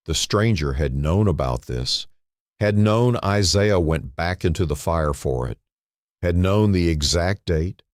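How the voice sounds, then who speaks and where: A man with an extremely deep voice reads a dramatic story. He takes it slowly and solemnly, parcelling it out in short phrases with pauses between them. The sound is dry, with no room around it. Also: no room sound. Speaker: narrator. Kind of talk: dramatic scripted story narration.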